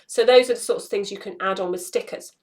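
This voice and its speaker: A woman's voice: medium pitch, quick pace, darkish tone. A woman around her forties hosting a casual instructional walkthrough, explaining things step by step. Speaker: social media tutorial host. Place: small indoor room.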